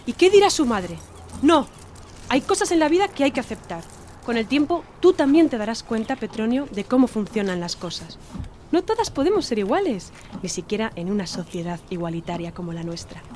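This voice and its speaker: A woman's voice: on the high side, big pitch swings, fairly quick, darkish tone, a little hiss or hum. A woman playing a domineering middle-aged mother in a radio drama, lecturing and dismissive. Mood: condescending, firm. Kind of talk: Spanish-language radio drama acting.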